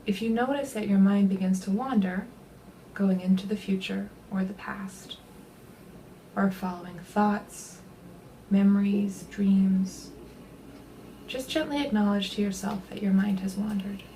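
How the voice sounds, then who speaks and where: A woman leading a guided body scan meditation, speaking gently and unhurriedly in a soothing way, with soft, breathy phrase endings. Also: mid-range voice, fairly even intonation, measured pace, slight background noise, low-quality recording.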